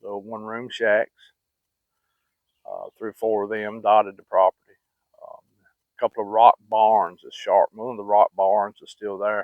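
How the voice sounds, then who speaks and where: A man's voice: on the low side, little pitch movement, moderate pace, long pauses, dry recording. A middle-aged man telling a rambling, folksy story with many fillers and restarts. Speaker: channel host telling hunting stories. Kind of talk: casual storytelling. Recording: dry, phone-like sound.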